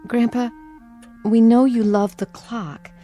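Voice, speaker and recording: An actress playing a worried, tender granddaughter and wife in a radio drama, gentle, hesitant and pleading. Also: female voice, on the high side, steady tone, slow pace. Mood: tender concern. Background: music.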